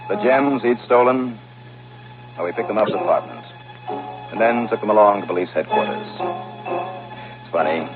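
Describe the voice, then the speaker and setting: An actor playing a brisk, confident male investigator in a 1950s radio detective drama, telling his case in a wry, clipped, hard-boiled way. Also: on the low side, natural voice. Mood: wry, satisfied. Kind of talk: 1950s radio detective drama, first-person narration and dialogue. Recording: muffled vintage radio recording with music.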